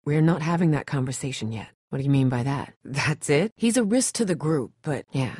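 A woman's voice: low voice, expressive, brisk, dark tone, breathy edge, dry recording, studio quality. A woman playing a tough, blunt but caring survivor of an apocalypse in a dramatic video game. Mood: earnest, exasperated. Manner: blunt, urgent, no-nonsense.

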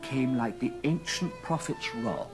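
A man narrating a story dramatically, with deliberate pauses, in old radio drama style. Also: mid-range voice, animated, music in the background.

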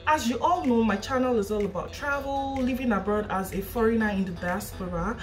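A woman's voice: fairly high pitch, a little fast, faint music behind. A young woman from Nigeria hosting her channel, chatting casually and laughing often, recorded indoors in a living room by a camera a short way off. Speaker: channel host. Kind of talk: casual chat.

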